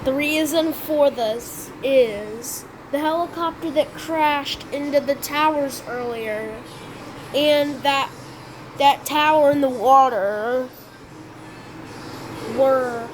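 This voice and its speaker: Stumbling emergency alert announcer, voiced by a woman, high voice, slow halting delivery, noisy game sound underneath. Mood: urgent. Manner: halting improvised announcement, frequent restarts and self-corrections. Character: improvised emergency alert announcer, stiff and official.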